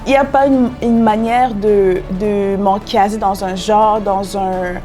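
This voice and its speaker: A young woman from Cameroon speaks as a guest in a relaxed interview, her voice mellow and soft-edged at a middle pitch. She talks reflectively, with frequent fillers and restarts. Faint music sits underneath. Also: measured pace. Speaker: guest artist and singer-songwriter. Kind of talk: casual interview conversation.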